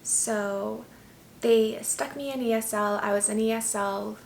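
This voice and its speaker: Gravelly-voiced young woman, casual and chatty. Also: a little high, steady tone, a little slow, slight background noise. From United States. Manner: candid, chatty, many "like"s and "um"s. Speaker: vlogger. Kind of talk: casual personal storytelling.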